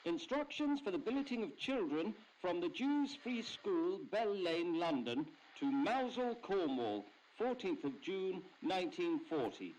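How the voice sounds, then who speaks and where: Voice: medium pitch, sing-song, measured pace, hardly any pauses, a little mellow, poor audio. An actor reading out an official council letter in a formal manner for an audio story.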